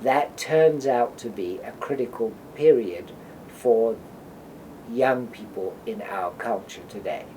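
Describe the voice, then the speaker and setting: A man, a psychology professor, explaining in a measured documentary interview with pauses. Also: medium pitch, dark tone, a little hiss or hum, low-quality recording.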